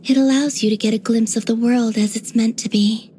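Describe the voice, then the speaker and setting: A woman acts out a serene young moon maiden from a fantasy game, her voice high, soft and breathy. She speaks with dreamy, tender innocence and an otherworldly wistfulness. Some background noise sits behind her. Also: steady tone, a little fast, lightly acted. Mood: innocent, musing. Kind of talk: scripted video game character voice lines.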